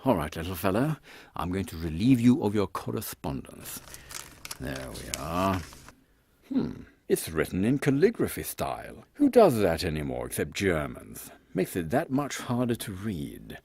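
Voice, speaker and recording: A man playing a courteous, dutiful airship captain in an audio drama, speaking with formal military politeness in a husky voice. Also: sits low, wildly swooping pitch, unhurried, darkish tone, dry recording, full character voice. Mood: pondering.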